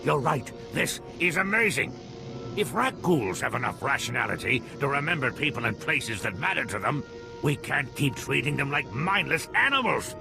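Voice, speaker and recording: An idealistic alien scientist from a video game, passionate and quick to argue. The voice has a creaky grain and a very lively pitch. He speaks emphatically over clear background music. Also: low-quality recording. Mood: earnest, insistent.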